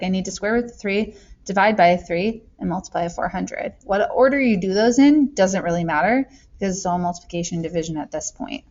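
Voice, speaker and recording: A woman's voice: medium pitch, a little mellow, dull audio. A woman teaching math, talking through worked examples in a casual, chatty way and thinking aloud with joking asides.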